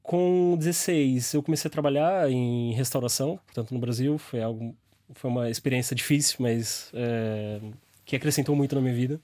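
A young man with a Brazilian Portuguese accent answers interview questions about his company, searching for words and restarting sentences often. His pitch is middling for a man. The recording is close and dry. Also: normal speed, warm tone, dry recording. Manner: hesitant, many fillers and restarts. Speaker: startup founder.

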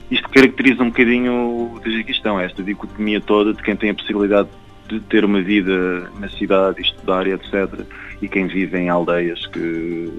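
A man's voice: deep voice, a bit bright, dull audio. A young man with a European Portuguese accent talks casually and reflectively as an interview guest, often repeating words as he searches for phrasing. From Algarve, Portugal. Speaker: traveller and guide interviewed.

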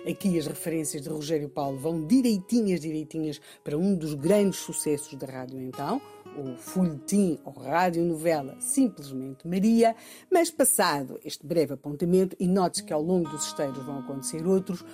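Low, dark-voiced Portuguese woman presenter. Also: expressive, normal speed, soft background music. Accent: European Portuguese. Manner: emphatic, repeats phrases for effect. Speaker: radio programme presenter. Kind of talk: explanatory documentary presentation.

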